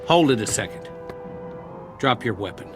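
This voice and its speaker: An actor playing a lonely, hopeful war survivor in a television drama, talkative and coaxing, with an American accent. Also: male voice, mid-range voice, animated, music in the background, close to an everyday voice. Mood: firm. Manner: talkative, coaxing, halting when moved.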